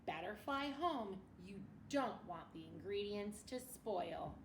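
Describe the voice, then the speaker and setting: Female teacher for kids' storybook read-aloud: very lively intonation, expressive, asks questions of listeners; distant mic with faint noise. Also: medium pitch, echoey room. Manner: animated, expressive, pausing to ask the listeners questions. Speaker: elementary school teacher. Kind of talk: picture book read-aloud for children.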